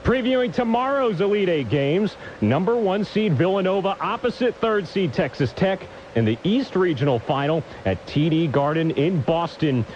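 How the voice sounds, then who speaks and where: A man's voice: high voice, animated, moderate pace, hardly any pauses, a little mellow, dull audio, slight background noise. A man reading a scripted sports update as a broadcaster, with polished, punchy announcer delivery.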